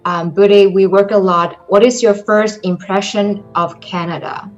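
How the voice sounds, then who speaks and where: A woman's voice: mid-range voice, fairly even intonation, measured pace, highs cut off, soft background music. A young woman from China with a Chinese accent, giving an online presentation in careful English with frequent ums. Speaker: presenter for a study-abroad program.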